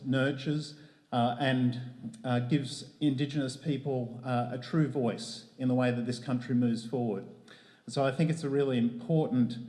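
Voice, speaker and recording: A man's voice: medium pitch, dark tone. An Australian man hosting a community event, speaking with many hesitations and restarts. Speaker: event host. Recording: echoey hall.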